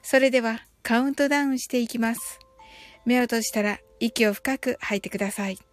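A woman hosting a guided meditation, speaking in a calm, soothing and deliberate manner. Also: a little high. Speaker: host of a mindfulness and English audio show. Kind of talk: guided meditation in two languages, slow and measured. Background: background music.

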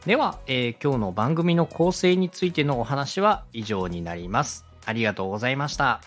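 A man's voice: mid-range voice, lively intonation, a little fast. A man hosting a podcast, explaining things politely and conversationally and speaking straight to the listener. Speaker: podcast host and radio director giving advice. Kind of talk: explanatory podcast teaching with acted example questions. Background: background music, faint.

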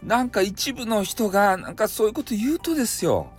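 A man's voice: sits high, sing-song, a little fast, husky, faint music behind, voice pushed up, heavily acted. A man playing a timid, deferential young male office underling who talks apologetically in a comic radio drama. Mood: defensive explaining.

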